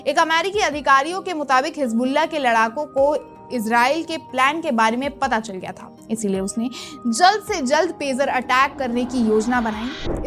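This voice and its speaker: A woman's voice: sits high, a bit bright. A woman presenting a scripted news explainer in the manner of a narrated report. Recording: music bed under the voice. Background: background music.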